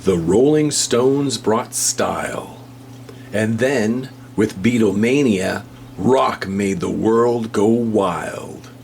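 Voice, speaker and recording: Low-voiced man slowly reading a children's book. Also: vocal fry, a little mellow. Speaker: storybook reader. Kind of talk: children's picture book read-aloud. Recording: hum and faint background noise.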